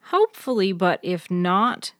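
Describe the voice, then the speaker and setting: A woman's voice: medium pitch, sing-song, a little slow, no echo, studio quality. A woman voicing a nervous but determined young woman in an audio story, earnest and direct. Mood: purposeful.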